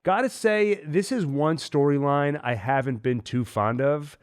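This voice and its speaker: Man with a cold recapping an episode. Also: fairly high pitch. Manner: analytical, measured recap with mild opinions. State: has a cold. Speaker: host.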